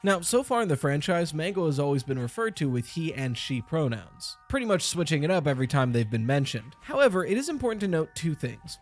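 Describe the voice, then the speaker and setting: A man's voice: a little high, very animated, brisk, hardly any pauses, soft background music. A man hosting a casual commentary on video game lore, chatty and nitpicky as he picks apart details.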